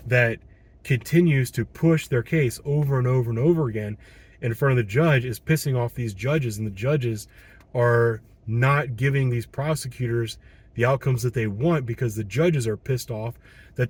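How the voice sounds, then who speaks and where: A man in his thirties with a Midwestern American accent, speaking at a middling pitch. He is a patrol police officer talking on his own, hoarse as he loses his voice, frustrated and earnest, with frequent ums and restarts. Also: darkish tone. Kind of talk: solo monologue commentary.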